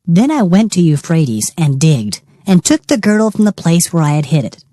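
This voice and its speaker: A woman's voice: on the low side, sing-song, moderate pace, mellow, dry recording, studio quality. A woman narrating dramatized scripture in a solemn, declamatory manner. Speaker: Bible narrator.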